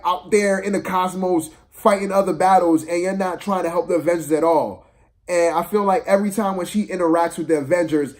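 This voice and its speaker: Guy w kinda high voice doing a casual movie rant, slangy, exasperated, keeps repeating stuff to make his point. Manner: emphatic, repeats phrases for emphasis. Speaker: amateur movie reviewer. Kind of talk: casual opinionated film review vlog.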